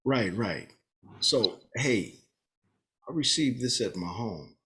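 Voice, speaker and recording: Man playing eager police inspector, comedy table read: chummy, jittery, very lively intonation. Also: medium pitch, takes it slowly, full character voice. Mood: chummy, persuasive.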